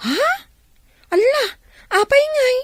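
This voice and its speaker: A woman acting an excitable, nosy, scolding villager in a Filipino comedy radio drama, with a Filipino accent. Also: extremely high pitch, takes it slowly, no room sound, exaggerated voice. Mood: shocked. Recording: music under the voice.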